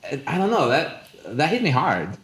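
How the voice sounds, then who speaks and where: A man's voice: a little high, wildly swooping pitch, normal speed, fairly bright tone. A man from El Salvador speaks on a conference panel in an echoing room in front of a live audience. His delivery is earnest and candid, full of restarts and repeated words, and the hall's reverb trails behind each phrase. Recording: roomy hall echo.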